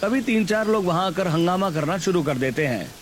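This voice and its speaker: A man's voice: sits high, expressive, fairly quick, slight background noise. A man reading scripted narration for a Hindi cartoon story.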